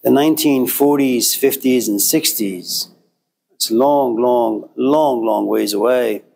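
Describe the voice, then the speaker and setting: A man's voice: medium pitch, slow pace, vocal fry, darkish tone. A man of Persian background giving a classroom lecture, speaking rhetorically and driving points home through emphatic repetition. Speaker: teacher.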